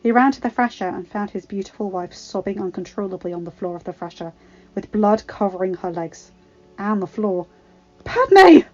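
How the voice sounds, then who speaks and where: A woman's voice: mid-range voice, fairly even intonation, fairly quick, a little mellow, faint music behind. Woman narrating, slightly dull recording. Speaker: podfic narrator. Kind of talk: expressive scripted story narration.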